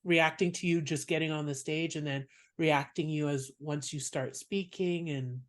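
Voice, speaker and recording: Soft-voiced man, a comedian guest. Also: a little high, fairly even intonation, moderate pace. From Canada. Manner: reflective, explains at length. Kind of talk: casual interview chat.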